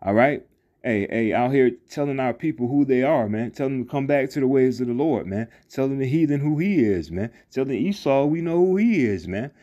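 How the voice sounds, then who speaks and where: A man teaching scripture in a casual, rambling way, full of fillers and restarts, saying "man" after many phrases and reading verses slowly. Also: medium pitch, expressive, warm tone, lo-fi sound. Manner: reads verses slowly and deliberately. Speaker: street preacher. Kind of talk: informal scripture teaching over a screen recording. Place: not seen, voice-over on a phone screen recording.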